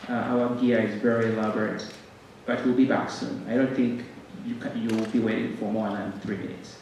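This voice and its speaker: A man's voice: lowish voice, level tone, fairly quick, darkish tone. Echoey, distant Ugandan man addressing a hall. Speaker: college music ensemble director, host. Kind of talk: welcome speech to a concert audience. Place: concert stage in a hall. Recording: very echoey hall, distant mic, faint noise.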